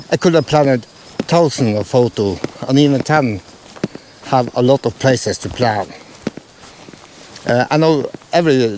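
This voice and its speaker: A man from Norway talks in English with a clear Norwegian accent, casually explaining how he plans his photos. He hesitates often, filling gaps and restarting phrases, at an average pitch for a man. A faint hiss of noise sits under the recording. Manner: hesitant, many fillers and restarts. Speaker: photography vlogger.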